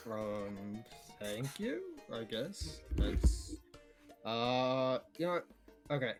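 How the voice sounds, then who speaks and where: A man running a tabletop game as game master over voice chat, chatty and loose, laughing often and slipping into quick character voices. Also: medium pitch, lively intonation, faint music behind. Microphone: home microphone on a voice call.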